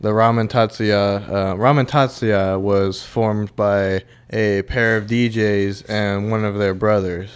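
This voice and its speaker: A young man with a low, smooth, resonant voice chats casually as a podcast co-host. He talks loosely, swears freely, and scatters "like" and restarts through his sentences. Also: fairly even intonation, takes it slowly, hardly any pauses. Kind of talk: casual chat.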